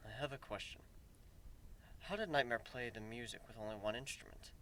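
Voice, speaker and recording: A man voicing a shy male wolf, quiet, hesitant and unsure of himself, in a fantasy story. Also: medium pitch, fairly quick, slight background noise, voice pushed down, natural voice. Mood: shy, curious.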